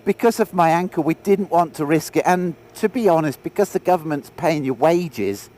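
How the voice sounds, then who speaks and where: A man's voice: on the high side, animated, moderate pace, mellow. A man with a head cold, chatting and joking while riding a motorbike. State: congested with a cold. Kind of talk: casual chat while riding. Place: outdoors on a moving motorbike. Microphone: action camera on the bike.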